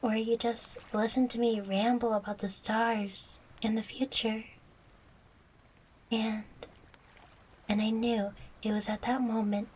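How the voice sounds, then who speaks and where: A woman voicing a playful, affectionate young catgirl girlfriend in an audio roleplay, teasing and coy, speaking closely to the listener with a breathy voice. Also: a little high, darkish tone, slight background noise, poor audio. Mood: tender, nostalgic.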